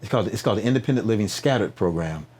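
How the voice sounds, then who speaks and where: Fast-talking, low-voiced man telling stories. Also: lively intonation, darkish tone, breathy edge. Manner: preacher-like emphatic repetition, rhetorical questions and "right?" tags. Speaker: podcast guest, nonprofit founder. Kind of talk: animated conversational storytelling, interview.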